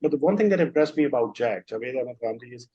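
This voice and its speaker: A man's voice: mid-range voice, expressive, fast, warm tone, breathy edge, dry recording. A man in a class asking the teacher a question, hesitant and full of fillers. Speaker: student. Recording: dry, dull lo-fi line.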